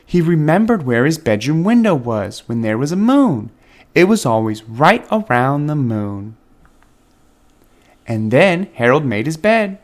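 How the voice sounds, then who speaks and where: Animated man reading a children's storybook. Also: a little high, measured pace. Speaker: children's book reader and channel host. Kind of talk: expressive storybook read-aloud.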